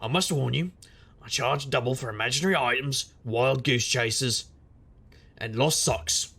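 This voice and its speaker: Man playing a gravelly, husky, world-weary detective. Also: medium pitch, moderate pace, fairly bright tone. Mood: dry, weary. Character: wry, world-weary male supernatural private detective. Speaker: lead actor and narrator. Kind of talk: first-person noir detective audio drama narration.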